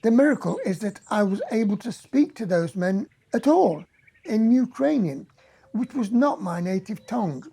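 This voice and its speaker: A woman with a husky voice giving a quiet, grave first-person reading of a testimony. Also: mid-range voice, animated, normal speed. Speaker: reader of a survivor's testimony. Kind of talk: read testimony.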